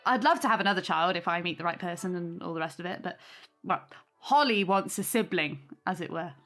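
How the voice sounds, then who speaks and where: An actress playing a young single mother in an audio drama, warm, sincere and chatty as she hosts her neighbours. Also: fairly low pitch, brisk, faint music behind. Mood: warm, earnest.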